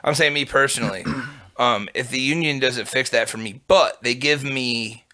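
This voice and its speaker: A young man with a low voice, rambling casually on a podcast, with constant fillers and swearing. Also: normal speed, pushed and bright. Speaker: podcast guest. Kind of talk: casual chat.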